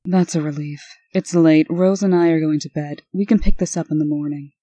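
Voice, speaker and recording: A woman's voice: deep voice, steady tone, a little fast, mellow, no echo. A woman playing a prim, authoritative administrator and exasperated sister, formal and scolding, in a comic dub. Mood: brisk, guarded.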